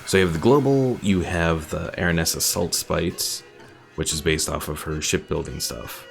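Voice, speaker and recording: A man's voice: sits low, moderate pace, vocal fry. A man giving casual commentary on a game as a streamer, thinking aloud as he plays. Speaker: gaming streamer. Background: faint game music.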